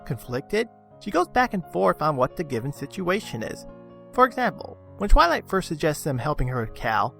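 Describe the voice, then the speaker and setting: A man's voice: fairly high pitch, creaky, a bit bright. A man reading a scripted review as a video reviewer. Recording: music under the voice. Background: background music.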